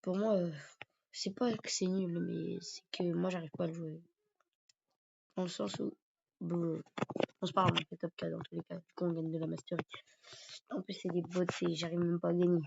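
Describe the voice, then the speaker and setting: Gravelly guy with a cold. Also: high voice, level tone, normal speed, pauses often, a little hiss or hum. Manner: rambling, hesitant. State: stuffy from a cold, sore throat. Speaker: gaming YouTuber. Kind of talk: casual gameplay commentary. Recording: dull lo-fi recording.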